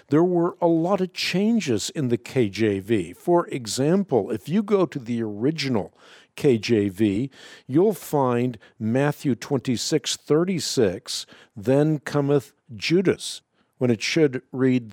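A man's voice: mid-range voice, a little mellow, no room sound, clean studio sound. A man whose first language is Dutch, hosting a call-in radio show and explaining answers to callers in an authoritative, emphatic way.

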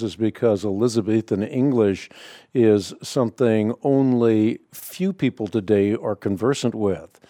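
A man hosting a call-in radio show, answering a Bible question in a measured, explanatory way. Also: lowish voice, mellow, no room sound, studio quality. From Netherlands. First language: Dutch. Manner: explanatory, measured, frequent uh fillers.

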